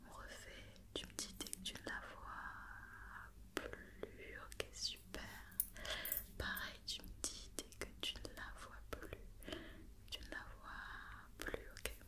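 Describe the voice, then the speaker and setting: A woman whispering an ASMR roleplay as a doctor, giving soft, repetitive, reassuring instructions. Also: takes it slowly.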